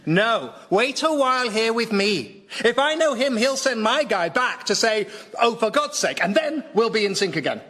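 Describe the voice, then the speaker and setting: Pompous medieval lord for a radio sketch, high pitched, grand then peevish, flusters easily. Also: male voice, big pitch swings, normal speed, bright, forceful tone, heavily acted. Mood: indignant. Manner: grand proclamations lapsing into peevish everyday chat. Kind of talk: radio sketch comedy acting.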